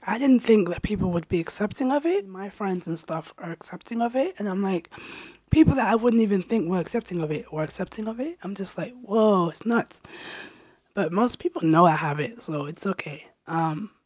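A woman in her thirties talks to camera in a small bedroom, holding a tiny lapel mic close to her mouth. Her voice is slightly raspy and she stammers, repeating and restarting words. The sound is dry and a little dull. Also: mid-range voice, animated, normal speed, darkish tone, no echo. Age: 30s. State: recovering from a sore throat. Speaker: travel vlogger. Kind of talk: casual personal talk to camera. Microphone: handheld lapel mic held near the mouth.

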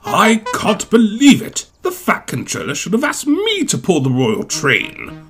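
A man's voice: a little high, huge pitch swings, a little slow, gravelly, music in the background, full character voice. Man playing a pompous, boastful big steam engine. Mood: indignant. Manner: pompous, grand, huffy.

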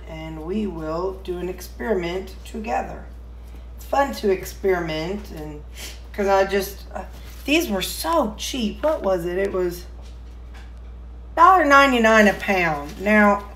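A woman vlogging as a home cook, chatting casually in a rambling, folksy way while she demonstrates in her kitchen. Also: mid-range voice. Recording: roomy, echoey kitchen sound.

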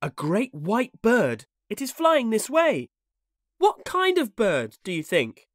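A narrator voicing excitable, wide-eyed young boys in a storybook adventure. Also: mid-range voice, a little slow, dry recording, clean studio sound, voice pushed up. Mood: awestruck.

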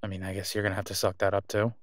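A man playing a devoted, reassuring boyfriend in an intimate roleplay audio drama, speaking softly and haltingly in a conversational way. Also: lowish voice, dry recording, studio quality, close to an everyday voice. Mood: tender, reassuring.

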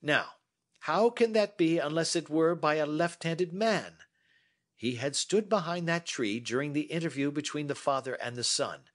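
Man voicing a Victorian detective, precise, self-assured, lecturing, fairly high voice, lively pitch. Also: moderate pace, close to an everyday voice. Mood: reasoning. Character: brilliant, confident Victorian male detective.

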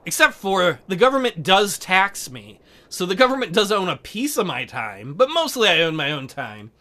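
A woman's voice: lowish voice, very animated, normal speed, fairly bright tone. A woman doing casual, goofy game commentary as a let's play host, joking crudely and rambling.

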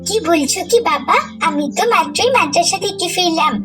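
A woman's voice: very high-pitched, a little fast. A woman voicing a sly, eager young schoolgirl in a children's moral cartoon, with music behind her. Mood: defensive, fibbing.

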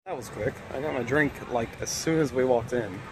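A man's voice: mid-range voice, a little fast, rough recording. A young man chatting casually as a vlogger, talking into a phone held at arm's length. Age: young adult. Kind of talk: casual vlog chat. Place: home rooms, car and outdoors. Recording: clear background noise, handheld phone.

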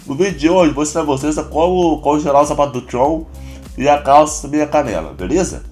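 A Brazilian man with a hoarse, congested voice, chatting casually through a game tutorial as a YouTuber. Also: a little high, brisk, faint music behind. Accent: Brazilian Portuguese. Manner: relaxed, chatty, constant filler address to viewers. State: hoarse, stuffy nose and cough. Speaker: gaming YouTuber.

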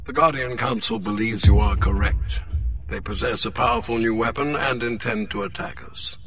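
A man's voice: mid-range voice, normal speed, close to an everyday voice. A man voicing a stern, aloof alien elder of a ruling council in a video game, formal and authoritative. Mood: imperious. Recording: distant from mic, music underneath.

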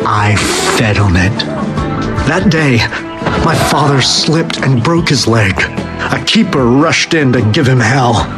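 A man's voice: mid-range voice, lively intonation, slow. A young man playing a brooding, defiant escaped slave in a video game, speaking with earnest intensity. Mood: grim, haunted. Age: young adult. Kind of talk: dramatic first-person game narration and dialogue. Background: music.

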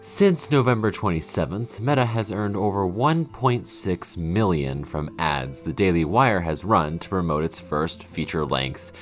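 Very deep, lively male reader. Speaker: narrator reading a quoted article. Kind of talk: read-aloud news quotation.

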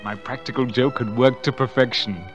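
A man's voice: mid-range voice, steady tone, normal speed, over loud music, natural voice. A man playing a smug, scheming nephew in a radio drama, with theatrical, confessional delivery. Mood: sinister, gloating. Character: scheming, smug young nephew who murdered his aunt. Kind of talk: radio drama acting with first-person narration.

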